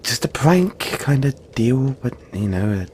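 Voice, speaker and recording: A man voicing a big, friendly, apologetic yeti in an audio roleplay, speaking gently to the listener in a halting, chatty, playful way. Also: mid-range voice, sing-song, a little hiss or hum.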